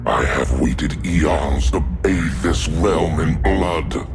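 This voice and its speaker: Deep as it gets, gravel grinding under every word, this is an ancient living scythe that craves blood. It speaks slow, hungry threats in video game voice lines. A music bed sits clearly behind the voice. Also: male voice, full character voice. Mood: menacing. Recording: game audio over music.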